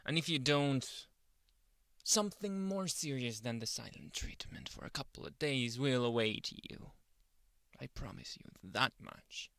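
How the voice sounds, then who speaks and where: Man acting a slow, grumpy yandere boyfriend, gravelly. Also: medium pitch, a bit bright, no room sound. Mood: menacing, intense. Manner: brooding, theatrical, scolding, dropping often into breathy whispers. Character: grumpy possessive yandere boyfriend, young man, menacing yet smitten. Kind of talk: ASMR boyfriend roleplay. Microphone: close ASMR microphone.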